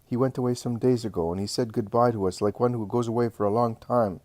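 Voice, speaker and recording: A man's voice: mid-range voice, fairly even intonation, fast talker, a little mellow, dry recording, close to an everyday voice. A man voicing an anguished, frightened woman who protests and pleads in an agitated way, in a dramatic story reading. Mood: hesitant, subdued.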